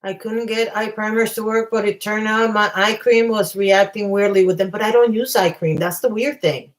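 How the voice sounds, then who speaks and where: A woman hosting a casual livestream while losing her voice, rambling and often clearing her throat. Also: mid-range voice, fairly even intonation, moderate pace. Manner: chatty, reads viewer comments aloud. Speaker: livestream host.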